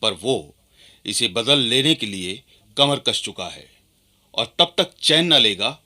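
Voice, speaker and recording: An actor playing a firm British official of colonial India, speaking emphatically in a radio drama. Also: male voice, mid-range voice, animated, takes it slowly, sharp tone, heavily acted. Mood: emphatic warning.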